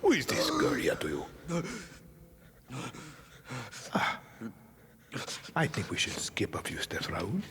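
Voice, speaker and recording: An actor playing a middle-aged male dictator in a video game, cold, controlling and theatrical, speaking deliberately and commandingly. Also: sits low, wildly swooping pitch, background noise. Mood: cold menace. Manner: deliberate, commanding, dropping to hushed menace. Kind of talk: cinematic video game acting.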